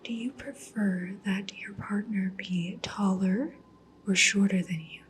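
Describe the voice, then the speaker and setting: A woman playing a polite, discreet cyberpunk matchmaker in an ASMR roleplay, speaking gently and professionally in a breathy whisper. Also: medium pitch, steady tone, slow pace, slight background noise, lightly acted. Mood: calm, businesslike. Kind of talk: soft-spoken ASMR roleplay.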